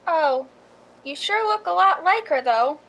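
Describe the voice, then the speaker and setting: A woman's voice: very high-pitched, expressive, unhurried, a bit bright, slight background noise, exaggerated voice, poor audio. A woman playing an eager, insistent young boy hunting for autographs in a radio drama.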